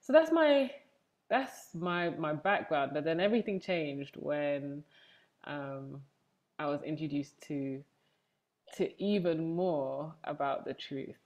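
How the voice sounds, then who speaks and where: Young woman, English accent, low register, interview guest speaking conversationally about herself on a video call. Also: lively intonation, slow pace, darkish tone. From Manchester, England. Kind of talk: conversational personal testimony. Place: room at home, indoors, on a video call. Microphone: wired earphones on a video call.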